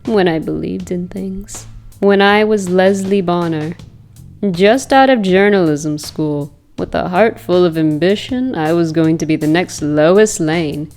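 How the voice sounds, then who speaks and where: Woman voicing vengeful female ex-journalist, lowish pitch, sardonic, deliberate, theatrical comic narration, light music. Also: a little mellow. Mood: wistful, bitter. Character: bitter, wounded former journalist turned vengeful villainess. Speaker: comic dub voice actor. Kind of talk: dramatic first-person narration. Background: background music.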